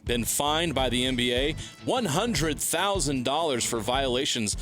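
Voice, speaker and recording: An American man co-hosts sports radio with a bright, punchy voice. His intonation swings widely, and he delivers news and banter with joking emphasis. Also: mid-range voice, lively intonation, measured pace, soft background music. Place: radio studio.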